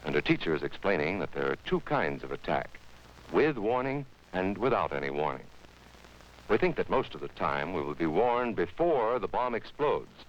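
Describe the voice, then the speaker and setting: An American man narrating a 1950s educational film, speaking to children in an instructive, emphatic manner. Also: low-pitched. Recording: dull vintage 1950s film soundtrack.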